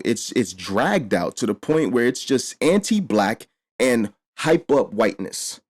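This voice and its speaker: A man's voice: medium pitch, a little mellow. A man hosting a casual discussion, blunt and emphatic, with frequent swearing. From Mexico. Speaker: host.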